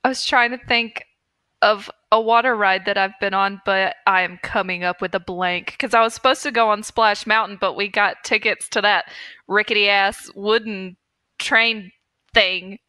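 A woman with a bright, crisp-edged voice at an average pitch co-hosts a casual comedy podcast. She banters playfully in an informal way, recorded very dry. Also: fairly even intonation, moderate pace, dry recording. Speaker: podcast co-host.

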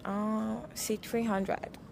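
A woman's voice: mid-range voice, flat delivery, very slow, gravelly, over music. A woman in her twenties being interviewed outdoors, giggly and boastful, answering into a handheld mic. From Nigeria and Morocco. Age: 20s. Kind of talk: comic street interview. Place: outdoor terrace.

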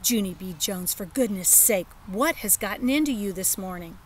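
A woman's voice: mid-range voice, lively intonation, normal speed, a little hiss or hum, close to an everyday voice. A woman voicing a patient, somewhat weary mother in a children's storybook.

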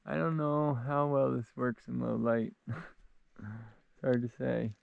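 A man's voice: medium pitch, steady tone, slow pace, dry recording, narrow, tinny audio. A young adult man chatting casually to a camera while out hiking in the mountains. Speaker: vlogger on a backcountry trip. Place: outdoors on a mountain trail and ridge. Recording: clearly noisy outdoor action-camera audio.

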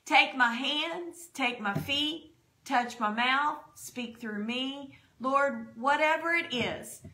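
Woman pastor, middle-aged, slow and bright, fervent prayer at a home desk mic. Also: high voice. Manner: fervent, prayerful, citing scripture verses. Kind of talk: spoken prayer and testimony on a church broadcast. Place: home dining room. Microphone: desk podcast microphone.

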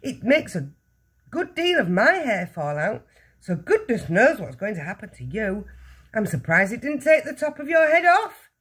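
A shrill, bossy middle-aged mother from a storybook, as a woman reader puts her on. She scolds in a high voice that leaps and swoops, full of scorn and exclamation. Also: very animated, normal speed, a bit bright, husky, heavily acted. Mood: scornful, scolding. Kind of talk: children's storybook reading.

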